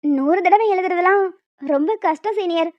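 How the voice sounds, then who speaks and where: A woman's voice: very high-pitched, normal speed, no room sound, highs cut off. A woman voicing a naive young female college fresher in a cartoon, polite and childlike as she pleads and protests. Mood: protesting. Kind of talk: cartoon story dialogue.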